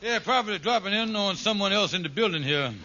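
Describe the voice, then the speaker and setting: A man's voice: high-pitched, expressive, fast talker, pushed and bright, heavily acted. A man playing a wisecracking married schemer in a 1940s radio sitcom, with a folksy, exaggerated comic dialect. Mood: breezy. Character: scheming, wisecracking married man, put-upon apartment host. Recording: dull, hissy old radio recording.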